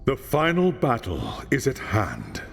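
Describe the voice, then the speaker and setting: A grave, commanding high lawmaster in a fantasy video game, his pitch swinging very widely as he gives orders. His voice rings in a long hall reverb over clear music. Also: huge pitch swings, slow, heavily acted. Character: solemn older male high lawmaster, commanding mentor.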